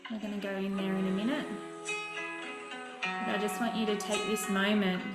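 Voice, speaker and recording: A woman leading a guided relaxation session as a wellness coach, speaking in deliberate, instructive phrases with frequent pauses. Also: medium pitch, level tone. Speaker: meditation and wellness session leader. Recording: roomy echo with music behind.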